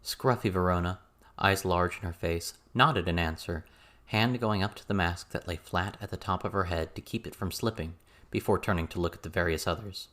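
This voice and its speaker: Low-voiced man narrating fiction. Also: normal speed, fairly bright tone. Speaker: audiobook narrator. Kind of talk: scripted story narration.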